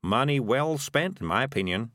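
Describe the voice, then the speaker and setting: A man's voice: medium pitch, animated, moderate pace, fairly bright tone, dry recording, clean studio sound, voice pushed up, lightly acted. A man voicing a cynical, bossy talking animal schemer who lectures in a wheedling, condescending way in a storybook reading. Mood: cynical, exasperated.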